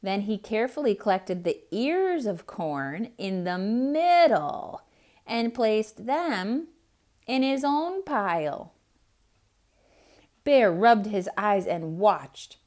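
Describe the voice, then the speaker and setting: Woman, children's storyteller, read-aloud, slow pace, very expressive pitch, asks questions.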